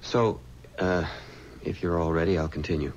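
Low, determined undertaker's assistant. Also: male voice, steady tone, moderate pace, darkish tone. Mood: earnest, measured. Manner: earnest, resolute. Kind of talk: 1970s radio drama acting. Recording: muffled, noisy old radio recording.

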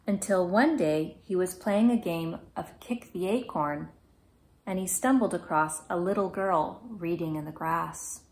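A woman in her thirties reading a children's picture book aloud in a gentle, measured, clearly phrased way. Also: fairly low pitch, slight background noise. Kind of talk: children's storybook read-aloud. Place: small indoor room at home.

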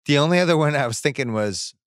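A man's voice: on the high side, animated, fast, dry recording. A middle-aged man hosting a casual movie podcast, speaking close to a studio mic. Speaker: podcast host. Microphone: broadcast mic on a boom arm, close.

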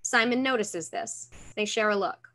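A woman's voice: medium pitch, moderate pace, sharp tone. A woman in her thirties reading screenplay stage directions as scripted narration over a video call. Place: room at home, indoors. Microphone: computer mic on video call.

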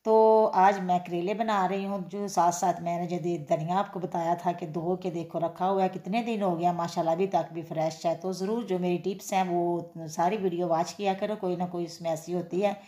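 Woman home vlogger, lowish voice, chatty running commentary, roomy echo. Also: steady tone, fairly quick, few pauses, mellow. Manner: chatty, repeats filler phrases, addresses viewers directly. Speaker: cooking and daily-life vlogger. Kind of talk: casual home vlog narration. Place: home kitchen, then outdoor street fair. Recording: echoey room sound.

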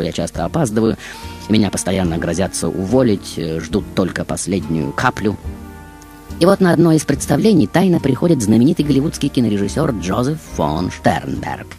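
Radio monologue: grandiose, self-admiring film diva played by a man, lowish register, theatrical irony, music underneath. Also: a little mellow. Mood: wry, confiding. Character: Marlene Dietrich, seductive, self-admiring, ironic film diva. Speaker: radio show host. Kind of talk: theatrical first-person radio storytelling.

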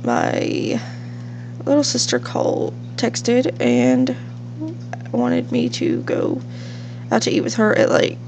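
A woman's voice: medium pitch, wildly swooping pitch, takes it slowly, creaky, long pauses, a little mellow. A young woman with a sniffly cold, casually rambling through let's-play commentary at home. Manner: chatty, rambling with fillers. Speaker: gaming channel host. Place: home room with a fish tank. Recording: faint hum from fish tank.

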